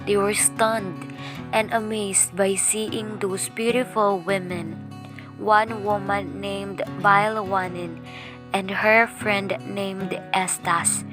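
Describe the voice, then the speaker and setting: A woman's voice: medium pitch, steady tone, slow. A woman reading the narration of an amateur radio drama in a plain, careful way. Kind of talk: read narration. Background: guitar music.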